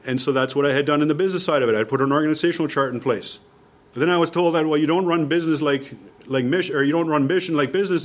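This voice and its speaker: Canadian man giving an informal talk. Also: on the high side. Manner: emphatic, repeats key points. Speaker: mission leader presenting at a workshop. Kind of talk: informal instructional talk to a group.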